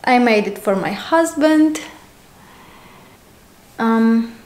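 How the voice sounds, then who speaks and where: Woman in her thirties, Ukrainian accent, fairly high voice, very slow and unhurried delivery with lots of ums, recorded in an echoey room at home. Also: animated, a little mellow. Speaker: podcast host. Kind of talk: casual knitting podcast chat.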